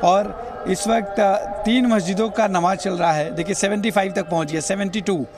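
A man's voice: high voice, fairly even intonation, normal speed. A man reporting news on location, explaining and pointing things out directly to viewers. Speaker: television news field reporter. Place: outdoors on city streets. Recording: noisy outdoor urban location with traffic.

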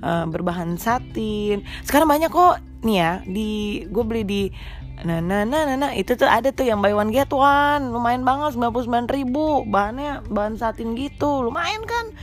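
A woman's voice: a little high, lively intonation, moderate pace, faint music behind. A young woman with a stuffy, congested nose, chatting casually and teasingly to mothers as a podcast host. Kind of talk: casual chat to mothers, mixing in English. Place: small rented room.

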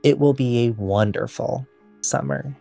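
A woman voicing a brisk, motherly female assistant camp director who is cheerfully overbooked. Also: very deep voice, creaky, dark tone, no room sound, soft background music, exaggerated voice. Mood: chatty. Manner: fast, businesslike, cheerfully overbooked.

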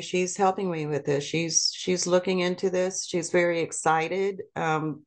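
Earnest middle-aged woman, lower voice. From South Korea. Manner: earnest, measured, spelling out details carefully. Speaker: podcast guest. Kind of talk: conversational interview.

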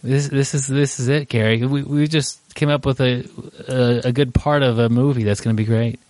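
A man's voice: mid-range voice, steady tone, normal speed, darkish tone, no room sound. A man hosting a podcast in a casual, rambling way, with many fillers and restarts, laughing easily. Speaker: podcast host.

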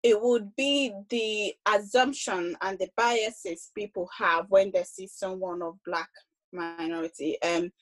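A young woman speaking on a discussion panel, reflective and hesitant, with frequent pauses and restarts. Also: mid-range voice, fairly even intonation, low-quality recording. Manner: hesitant, many ums and restarts.

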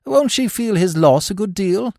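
A man voicing a witty, idle young gentleman with a flippant, affected, teasing manner in a storybook reading. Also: sits high, lively intonation, normal speed, creaky, no room sound, clean studio sound, pitched above the performer's own voice.

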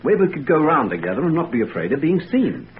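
An actor playing a young male student who is smooth and manipulative, speaking in a coaxing, persuasive way. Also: on the high side, very animated, fast talker. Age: young adult. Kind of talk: 1940s radio acting. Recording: tinny old radio broadcast, faint hiss.

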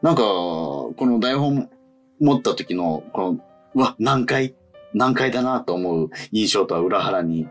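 A man's voice: on the low side, expressive, unhurried, soft background music. A man co-hosting a casual radio after-show chat, feeling his way through sentences and often rephrasing. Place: radio studio.

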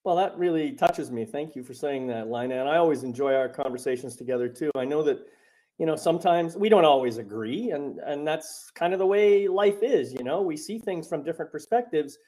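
A man's voice: on the high side, animated, fairly quick, mellow. A man hosting a spiritual podcast, talking over a remote call in a rambling way with many fillers and restarts. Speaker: podcast host and coach. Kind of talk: casual spiritual podcast chat.

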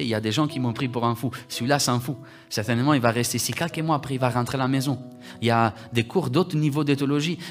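A man from Italy, preaching a livestreamed sermon that repeats its phrases and builds from quiet talk to loud exhortation. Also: mid-range voice. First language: Italian.

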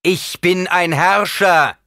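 A man's voice: low voice, normal speed, husky, no echo. An indignant older male ruler from an audiobook, acted by a man, speaking with haughty, imperious force. The recording is dry and close. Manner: imperious, affronted.

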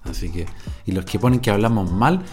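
A man's voice: lowish voice, lively intonation, brisk, loud music behind the voice. A middle-aged man from Viña del Mar with a Chilean Spanish accent, hosting his podcast in an improvised, rambling, digressive monologue full of slang and swearing. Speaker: podcast host.

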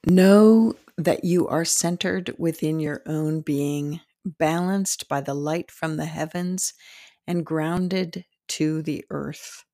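Slow, low-pitched woman guiding a meditation. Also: warm tone, no echo, studio quality. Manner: soothing, deliberate, with frequent pauses between short phrases. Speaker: podcast host and meditation guide. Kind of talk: guided meditation narration.

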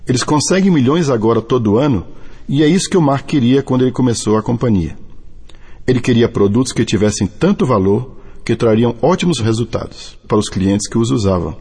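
Husky Brazilian man, earnest scripted delivery. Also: mid-range voice, darkish tone. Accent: Brazilian Portuguese. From Brazil (Bahia). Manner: deliberate, earnest reading from a script. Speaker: network marketing distributor. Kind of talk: scripted motivational testimony and read-out translation. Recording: long hall reverb.

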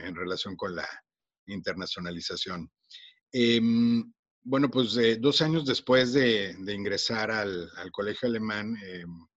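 A man's voice: fairly low pitch, no room sound, muffled recording. A Mexican man in his forties with a Mexican accent, hosting a podcast and telling his own story casually, with many fillers and restarts. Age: 40s. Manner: warm, unhurried, frequent fillers and restarts. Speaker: podcast host.

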